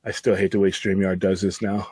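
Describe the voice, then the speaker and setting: A man's voice: low voice, little pitch movement, brisk, darkish tone, husky, no echo. A man hosting a live stream, chatting loosely with many fillers and setting up the next clip. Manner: rambling, filler-heavy, friendly. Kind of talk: casual live-stream chat.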